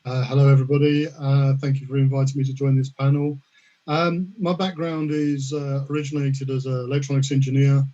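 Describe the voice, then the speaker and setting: A middle-aged man from the UK speaks politely and hesitantly on a panel, with lots of fillers. His voice is dark and mellow. The sound is dull and lo-fi. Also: mid-range voice, level tone, brisk. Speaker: panelist, risk management specialist. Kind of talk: online panel discussion. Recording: dull lo-fi audio.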